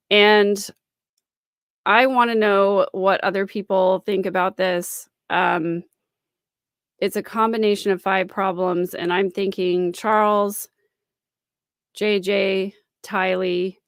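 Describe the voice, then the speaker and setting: A woman's voice: medium pitch, no echo. Woman commentator, close dry sound. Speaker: host and commentator. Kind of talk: live-stream commentary analysing a recording.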